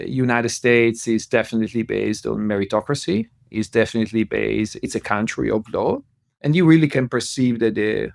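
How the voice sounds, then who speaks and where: A man's voice: on the low side, creaky, no room sound, studio quality. A man in his forties from Italy, speaking with an Italian accent as a podcast guest on a video call, with lots of fillers and easy laughter. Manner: warm, laughs often, many fillers. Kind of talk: remote interview. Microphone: headset on video call.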